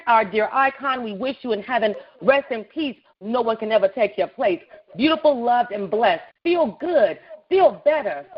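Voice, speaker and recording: A woman performing a spoken word poem at an open mic, with a rhythmic, measured delivery. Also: a little high. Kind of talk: spoken word poetry recital. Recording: dull lo-fi online radio audio.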